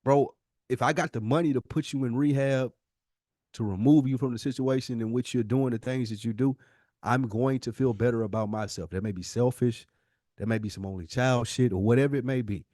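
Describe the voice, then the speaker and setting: Male podcast host, average pitch, dry sound, casual but emphatic, restates points for weight. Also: a little fast, dark tone, no room sound.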